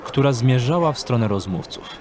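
A man's voice: medium pitch, expressive, brisk, a little mellow, slight background noise. A man reading scripted narration for an audio drama as its storyteller. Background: background music and street ambience.

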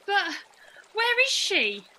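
A woman's voice: very high-pitched, wildly swooping pitch, slow pace, bright, forceful tone, heavily acted. A woman playing a timid young girl in a radio pantomime. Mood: scared.

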